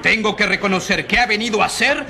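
A man's voice: high voice, fast talker, gravelly. An actor in a radio drama playing an opinionated, easily disgusted man in the street. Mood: grumbling. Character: opinionated, disgusted male passer-by. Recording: street crowd behind voice.

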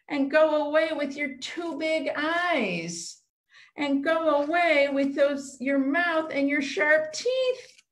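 A middle-aged woman leading an interactive storytime for young children, asking simple questions in short phrases over a video call. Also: high voice. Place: small room at home, indoors. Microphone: computer mic on a video call, a step away. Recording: roomy echo, webcam video call.

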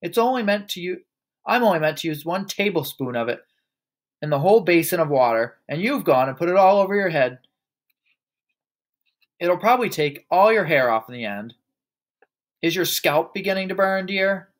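A shrill, scornful storybook wife and mother of middle age, acted out by a male reader. The pitch leaps high and swoops around as the character scolds and exclaims. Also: lively intonation, moderate pace, long pauses.

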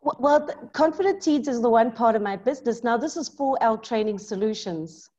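A middle-aged woman from South Africa with a South African accent, talking in a lively, emphatic way as a maths tutor in a video call interview from her home study. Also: fairly high pitch, a little fast. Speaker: maths tutor and business owner. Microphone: laptop mic on video call. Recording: muffled video call audio.

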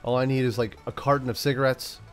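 A man hosting a gaming channel, chatting playfully through a let's-play, stuffed up from a cold and now and then yelling out excited bursts. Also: mid-range voice, fast, clear, resonant tone, soft background music. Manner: playful, talks to himself, yells bursts like "send it". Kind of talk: casual let's-play commentary.

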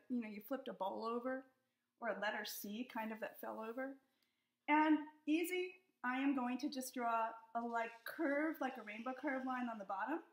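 Upbeat high-voiced woman teaching kids to draw. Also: a bit bright. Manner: upbeat, encouraging, step-by-step explaining. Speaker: children's book author and illustrator. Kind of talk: friendly drawing lesson for children. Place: home room with high ceiling. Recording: echoey room.

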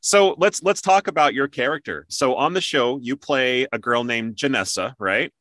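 Male interview host. Bright, forward voice, dry close sound, conversational and explanatory. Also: mid-range voice, moderate pace, no room sound. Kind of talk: casual interview.

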